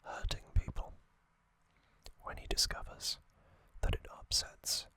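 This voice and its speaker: An ASMR host whispering slowly, rambling through trivia with fillers and musings. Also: sharp tone. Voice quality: unvoiced whisper.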